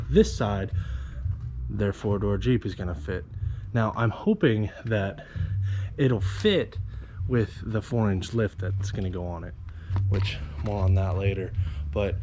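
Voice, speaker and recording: A man in his twenties chatting casually to the camera as a vlogger, rambling and circling back over his own words. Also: on the low side. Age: 20s. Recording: loud vehicle and ambient noise.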